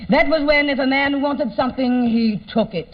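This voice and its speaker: A woman playing a grand, easily offended, smothering auntie with a husky voice in an old-time radio comedy. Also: sits high, moderate pace. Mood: grand, pleased. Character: indignant, smothering auntie and stage actress. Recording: muffled old radio recording.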